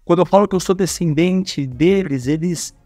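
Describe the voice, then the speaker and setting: A Brazilian man telling stories as a guest at a career fair, chatty and animated, who talks with his hands and keeps checking in with tag questions. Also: high-pitched, moderate pace, dry recording, soft background music. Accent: Brazilian Portuguese. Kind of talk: casual storytelling interview.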